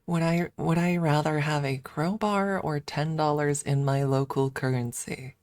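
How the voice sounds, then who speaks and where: A woman's voice: sits low, steady tone, unhurried, darkish tone, dry recording. A woman streamer chatting casually and informally in an ASMR livestream, thinking aloud close to the microphone. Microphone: close microphone adjusted for ASMR.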